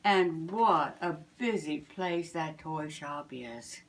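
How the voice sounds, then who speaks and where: Older woman reading a storybook, low and slow. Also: a little hiss or hum. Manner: deliberate, expressive storytelling. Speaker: grandmother reading aloud. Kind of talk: children's storybook narration.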